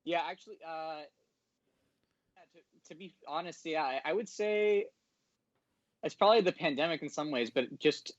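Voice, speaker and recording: A man's voice: on the high side, normal speed, pauses often, no room sound, highs cut off. A man talking casually as a podcast guest, hesitant and polite in his phrasing. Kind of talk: casual interview talk. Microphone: online call.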